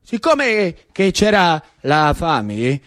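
A man playing an eager, indignant schoolboy in a radio monologue, with a Roman accent, halting and repeating insistent questions. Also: on the high side, very animated, a bit bright, exaggerated voice. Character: eager, indignant schoolboy proud of migrant grandfather.

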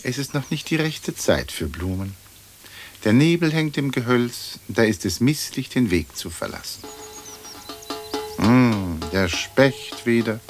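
An actor playing a lofty, philosophising leader of the dead, pompous and florid, in a radio play. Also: male voice, mid-range voice, lively intonation, a little slow, soft background music, close to an everyday voice. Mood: patronising. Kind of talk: German radio play acting.

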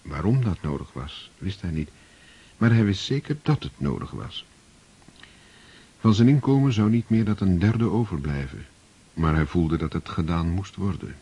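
A male narrator with a deep, very husky voice, telling the story in a steady, measured way. His tone stays even and composed. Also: dull audio. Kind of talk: scripted narration.

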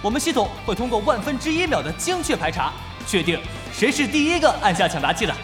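Showy high-pitched male arena host. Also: very animated, fast. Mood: showy, rousing. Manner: theatrical, projected announcing. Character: showy male esports event host rallying an arena crowd. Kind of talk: Chinese audio drama acting, stage announcer delivery. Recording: echoey arena-style reverb, faint music behind.

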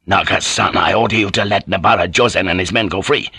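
A man's voice: low voice, steady tone, fast, vocal fry, pushed and bright, slightly rough, no room sound, studio quality, exaggerated voice. A man voicing a cold, commanding samurai lord in an audiobook, giving stern, imperious orders. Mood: cold demand. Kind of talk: audiobook character voice.

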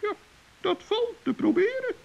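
A performer playing a kindly, earnest little male gnome with a squeaky, creaky voice in a children's radio play. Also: lively intonation, a little slow, darkish tone, full character voice. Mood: earnest, concerned. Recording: dull old radio recording.

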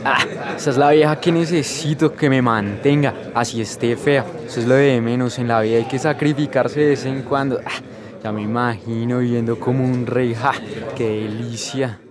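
A man's voice: mid-range voice, steady tone, normal speed, a crowd in the background, lightly acted. A man acting a shallow, freeloading young boyfriend in a radio comedy sketch, with a Colombian accent. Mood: scheming. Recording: music behind the voice.

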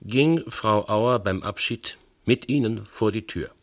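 A low male voice as a police inspector in a radio crime play. He is dry and probing. His questions come in a measured, methodical way, with some impatience underneath. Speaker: actor. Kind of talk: German radio crime drama acting.

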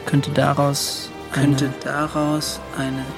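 A man's voice: on the high side, completely flat delivery, slow, vocal fry. An actor playing an earnest, humble young seaman in a radio drama, speaking politely and with self-deprecation. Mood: humble, hopeful. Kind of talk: radio drama, letter read in character. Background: music.

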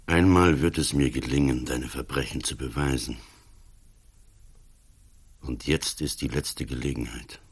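An actor in a radio play as an ailing, stubborn old police commissioner, husky, terse and grave. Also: male voice, rumbling low, measured pace, long pauses, full character voice. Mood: grave, resolute. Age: older.

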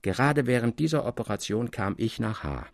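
A man narrating a classic literary tale in a measured, articulate way with expressive emphasis. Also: mid-range voice, creaky, no room sound, studio quality.

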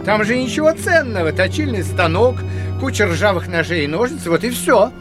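An excitable gossip in an old radio play, his high voice leaping up and down as he chatters on. He piles up news eagerly, with music playing behind him. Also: moderate pace. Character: excitable male gossip spreading theatre news. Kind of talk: 1970s radio play acting.

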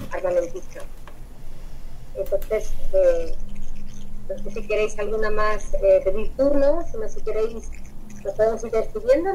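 A woman's voice: mid-range voice, warm tone, low-quality recording. Woman moderating, faint noise behind. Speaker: moderator of an online debate. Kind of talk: informal meeting moderation. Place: online video call. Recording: online call with faint background noise.

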